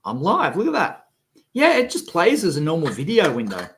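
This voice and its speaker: A man with a cold and a rough, sick-sounding voice, hosting a casual live stream and grumbling with exasperation. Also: a little high, huge pitch swings, moderate pace, slight background noise. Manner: grumbling, exasperated, swears freely. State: has a cold, coughing. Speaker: electronics video host.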